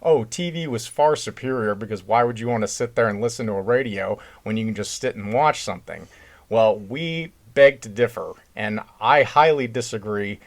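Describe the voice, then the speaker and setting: A man's voice: lowish voice, moderate pace. A man co-hosting a podcast in casual, unscripted talk, with an opinionated, emphatic way of putting things.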